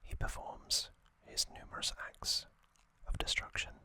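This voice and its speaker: Slow soft whisper, ASMR host. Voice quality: unvoiced whisper. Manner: slow rambling whisper with fillers. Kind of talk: whispered ASMR trivia talk.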